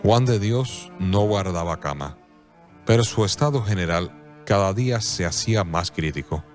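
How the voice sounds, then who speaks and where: Low-pitched solemn male narrator. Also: expressive, faint music behind. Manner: solemn, measured storytelling. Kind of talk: scripted radio drama narration.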